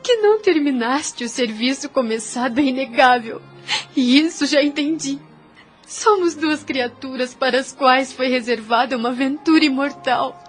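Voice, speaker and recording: A Brazilian woman playing a devout, self-denying young woman in an audio drama, earnest and solemn, with formal phrasing. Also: sits high. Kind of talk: audio drama acting, formal old-fashioned speech.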